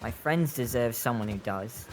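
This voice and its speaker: A man's voice: medium pitch, normal speed, a little hiss or hum, natural voice. Man acting earnest, self-doubting young leader. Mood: troubled. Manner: earnest, questioning. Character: earnest, self-doubting youth leading street kids. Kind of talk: audio drama acting.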